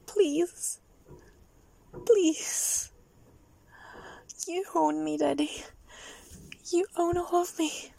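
A young adult woman performing a solo audio roleplay in a soft, breathy, pleading manner, often dropping into a whisper. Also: extremely high pitch, steady tone, low-quality recording. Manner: slow, drawn-out delivery with frequent whispering.

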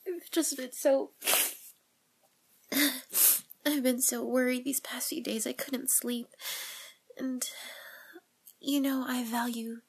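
Woman playing a breathy, tearful young confessor. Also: sits high, fairly even intonation, darkish tone, heavily acted. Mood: relieved, earnest. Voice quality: breathy, whispery. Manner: halting, tearful, pleading. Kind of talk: whispered audio roleplay monologue.

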